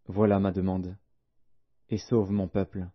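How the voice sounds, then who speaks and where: Male narrator as pleading queen, audiobook, earnest, formal, husky, muffled recording. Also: deep voice, little pitch movement, unhurried. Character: humbly pleading young queen Esther. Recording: dull, lo-fi audio.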